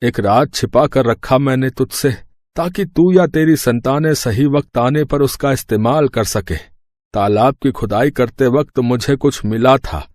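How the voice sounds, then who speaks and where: Ailing old father played by a man, solemn farewell, storybook drama, medium pitch, dry recording. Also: unhurried, darkish tone, no room sound, lightly acted. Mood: tender, solemn. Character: ailing elderly father writing a farewell letter. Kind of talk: scripted story dialogue.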